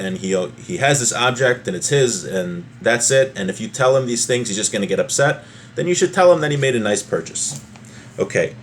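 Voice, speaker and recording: A man giving an informal religious law lesson, reading from a text and explaining it with everyday hypothetical examples. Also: mid-range voice. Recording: noticeable background noise.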